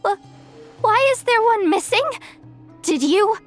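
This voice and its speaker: A woman's voice: very high voice, expressive, slow, faint music behind, heavily acted. An actress voicing a jittery young female shop worker who stammers and gushes. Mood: nervous. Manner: stammering, gushing. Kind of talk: video game dub acting.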